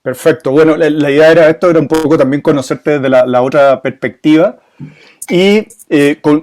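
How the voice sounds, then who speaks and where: A man's voice: a little high, a little mellow. Hesitant man hosting a webinar. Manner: hesitant, rephrasing questions. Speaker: webinar host. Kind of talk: casual interview conversation.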